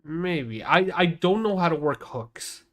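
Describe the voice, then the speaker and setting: A man's voice: on the high side, animated, measured pace, a bit bright. A man with a nasal voice streaming casually, thinking aloud as he edits a video, rambling with many restarts. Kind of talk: casual livestream talk while editing video.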